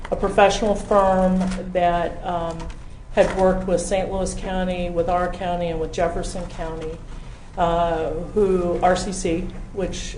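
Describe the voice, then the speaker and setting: An American woman giving an informal briefing at a public meeting in a halting, measured way. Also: fairly low pitch, warm tone. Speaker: county official introducing a presentation. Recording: echoey room with background noise.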